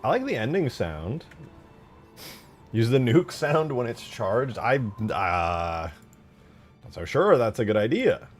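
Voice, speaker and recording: A man's voice: medium pitch, sing-song, slow, long pauses, soft background music. A man casually thinking aloud as he tests game sounds, a bit hesitant and muttering to himself. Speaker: game modder and video creator.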